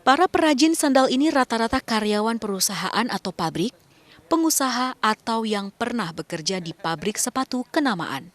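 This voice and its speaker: A woman reporter giving scripted narration for a local news feature in a measured, even reading. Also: fairly high pitch.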